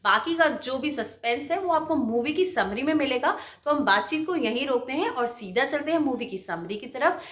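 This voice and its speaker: A woman's voice: fairly high pitch, fairly bright tone, a little hiss or hum. A woman hosting a channel, giving a scripted video introduction in a roomy, echoey recording. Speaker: channel host.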